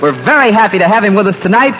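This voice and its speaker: A man's voice: high-pitched, sing-song, racing. A man hosting a radio variety show with scripted banter. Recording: noisy, muffled old radio with crowd.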